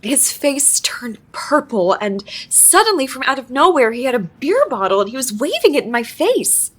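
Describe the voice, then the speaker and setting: A woman's voice: high voice, sing-song, normal speed, slightly rough, heavily acted. An actress playing a shaken, wondering woman who recounts her strange experience in a confiding, conversational monologue for a live audio drama. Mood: tense. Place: live on stage in a theater.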